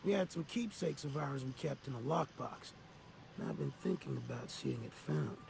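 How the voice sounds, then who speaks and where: A man's voice: medium pitch, animated, normal speed, gravelly, noisy background. An actor playing a grizzled older male ex-mercenary in a game, talking in a folksy, rambling drawl. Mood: weary. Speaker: game character.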